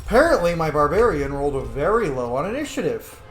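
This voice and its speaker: A man's voice: a little high, big pitch swings, fast talker, echoey room, faint music behind. A man giving casual, playful, sweary commentary as he plays a video game. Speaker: gaming video host.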